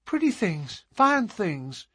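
A man reading aloud and voicing a grave, innocent little girl of about five in a soft, hushed lilt. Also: high voice, sing-song, takes it slowly, breathy edge, dry recording, voice pushed up, heavily acted. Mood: delighted.

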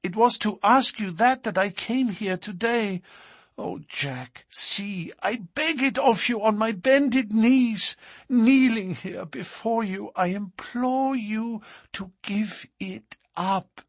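A man's voice: slow, husky, no room sound, full character voice. A man voicing a pleading young woman. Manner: high, tearful, urgent pleading. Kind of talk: audiobook character reading.

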